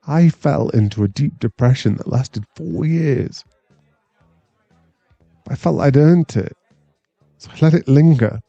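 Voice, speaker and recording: A man playing an awkward, tender former bully of nearly thirty in an intimate ASMR boyfriend roleplay, speaking with halting sincerity. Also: mid-range voice, no echo, highs cut off. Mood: earnest, remorseful. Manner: hesitant, halting, soft-spoken sincerity.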